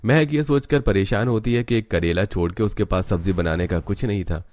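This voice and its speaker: A man with a fairly low voice narrates a cartoon story. His delivery is scripted narration, told from outside the characters as a storyteller. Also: fairly quick, a little mellow.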